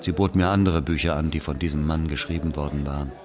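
A man's voice: rumbling low, fairly even intonation, fast, a crowd in the background. A man playing a wistful Algerian narrator recalling love and loss in a literary audio drama. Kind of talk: dramatic first-person narration.

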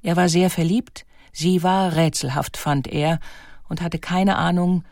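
A woman reading scripted documentary narration in a measured, articulate way. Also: fairly low pitch, steady tone, darkish tone, no echo, studio quality.

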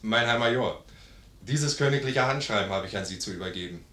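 An actor playing a correct, courteous military courier in a 1950s German radio play, formal and dutiful. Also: male voice, lowish voice, lively intonation, a little fast, fairly bright tone. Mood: formal, polite. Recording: roomy 1950s radio studio recording.

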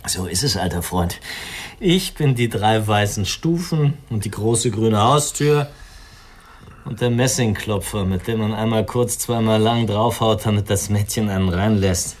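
A man's voice: on the low side, a little slow. An actor playing a weary, self-pitying man who drinks, musing and cynical, in a radio crime drama. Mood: bitter, resigned. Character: weary, self-pitying male drifter and drinker, arrogant, fatalistic.

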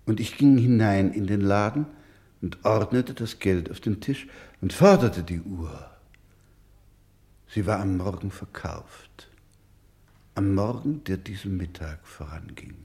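Slow, solemn male storyteller. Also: lowish voice. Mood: earnest. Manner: grave, deliberate, emphatic, dropping to hushed tones. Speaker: actor in a radio play. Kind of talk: slow, dramatic radio-play narration.

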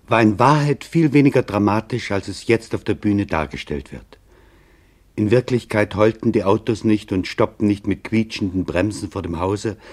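An actor playing an investigator, narrating a radio documentary drama in a serious, measured way. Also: male voice, lowish voice, a little mellow, lightly acted. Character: male investigator reporting witness accounts seriously.

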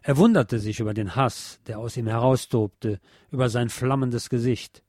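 A man's voice: mid-range voice, normal speed, a little mellow, dry recording. Casting: male narrator for a literary audiobook, mid-range voice, close dry sound.

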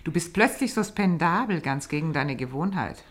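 Coaxing, animated troupe actress. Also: female voice, low-pitched, moderate pace. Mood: suspicious, knowing. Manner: coaxing, teasing. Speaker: actress in a radio play. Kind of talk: German radio drama acting.

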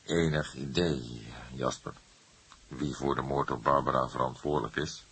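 A man playing a calm, probing old police detective in an audiobook, asking questions in a measured way. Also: extremely low pitch, breathy edge, voice pushed down, exaggerated voice. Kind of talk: acted audiobook dialogue.

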